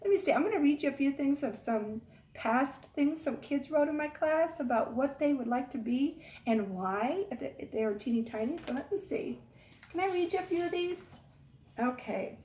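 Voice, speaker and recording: A woman's voice: high voice, expressive, normal speed, mellow. A woman teacher hosting storytime for young children, talking in a coaxing sing-song way and asking them questions. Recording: roomy echo, distant microphone.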